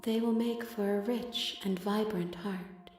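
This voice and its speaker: An actress playing a vast, serene, aloof female deity in a video game, with solemn, measured, cryptic delivery. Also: mid-range voice, steady tone, darkish tone, lightly acted. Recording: echoing voice over music.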